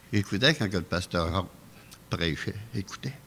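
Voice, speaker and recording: A man with a Québécois French accent preaching a calm, anecdotal testimony sermon in a church, chuckling often. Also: low-pitched, unhurried, a little hiss or hum. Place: church sanctuary. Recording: echoey church hall.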